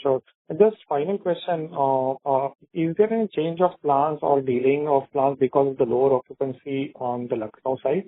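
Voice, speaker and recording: A man of average pitch puts questions as an analyst on an earnings call. His voice comes through a narrow, tinny telephone connection. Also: normal speed, hardly any pauses. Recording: thin narrowband phone line.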